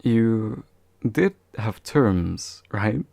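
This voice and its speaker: A young man acting as a courteous, gentle demon of envy in a soft-spoken ASMR roleplay, formal and soothing with occasional hesitation. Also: lowish voice, animated, very slow, gravelly, dry recording, full character voice. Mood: formal, curious. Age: young adult. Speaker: voice actor.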